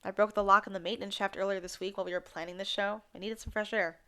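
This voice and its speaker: A woman's voice: mid-range voice, level tone, racing, bright, forceful tone, natural voice. A woman playing an outspoken radio host in an audio drama, with a dry, pointed, sardonic way of talking. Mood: wry, insistent. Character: outspoken, exasperated female radio host, wry and determined.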